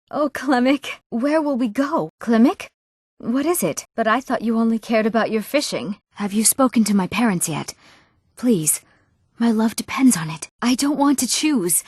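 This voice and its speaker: An actress playing an eager, earnest young townswoman in a fantasy video game, with clear, expressive scripted delivery. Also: medium pitch, no room sound, clean studio sound, natural voice. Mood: lovesick, pleading. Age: young adult.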